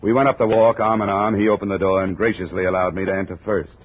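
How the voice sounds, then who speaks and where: An actor playing a wry, hard-boiled insurance investigator who narrates a 1950s radio drama in dry, sardonic first person. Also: male voice, sits low, fairly even intonation, fast talker, close to an everyday voice. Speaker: narrator and lead. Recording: tinny old radio broadcast, faint hiss.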